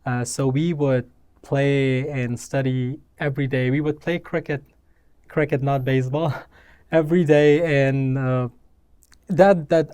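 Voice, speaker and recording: A young man from Afghanistan, raised in Pakistan, speaking as an interview guest in a measured way, with frequent fillers and restarts, in a small studio room. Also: mid-range voice, warm tone, smooth. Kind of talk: conversational interview. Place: small dim studio room, seated in armchair.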